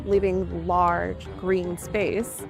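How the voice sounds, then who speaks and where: A woman's voice: lowish voice, flat delivery, extremely slow, gravelly. Woman narrator, scripted, dry sardonic tone, faint music behind. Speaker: video reporter.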